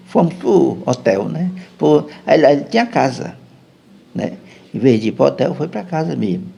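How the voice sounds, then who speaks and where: A man's voice: medium pitch, gravelly, a little mellow, slightly rough. A man with a Brazilian Portuguese accent, a podcast guest telling a long, rambling story and often checking in with his listener. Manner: chatty, keeps checking in with "né?".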